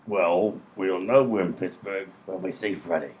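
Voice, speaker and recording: A man playing a pedantic, clueless robber in a comic radio play, reasoning aloud in a deliberate, long-winded way. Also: low voice, natural voice. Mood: pedantic, deadpan. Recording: muffled lo-fi sound, music behind.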